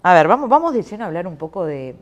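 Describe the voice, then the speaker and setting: Very low-voiced Uruguayan woman host. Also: very animated, racing, lo-fi sound. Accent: Uruguayan Spanish. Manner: friendly, chatty questions with small stumbles. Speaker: interview host. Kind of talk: conversational interview.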